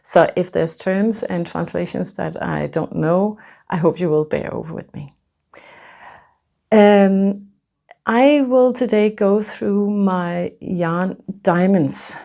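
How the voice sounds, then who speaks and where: Danish lady in her 50s, nervous, lots of ums and restarts, warm low voice. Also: slow pace, gravelly. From Denmark. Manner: hesitant, many fillers and restarts. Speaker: knitting podcaster. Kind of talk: casual vlog presentation.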